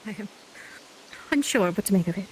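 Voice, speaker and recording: A woman's voice: mid-range voice, expressive, fairly quick, a little mellow, natural voice. A woman playing a timid, uneasy townswoman in improvised roleplay, faltering and unsure as she speaks. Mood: shaken. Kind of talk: improvised roleplay in virtual reality. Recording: faint hiss and background noise.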